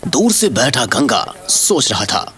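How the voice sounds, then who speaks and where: A man's voice: medium pitch, very animated, slow pace, pushed and bright, a little hiss or hum. A man narrating a scripted Hindi audio story in a dramatic style.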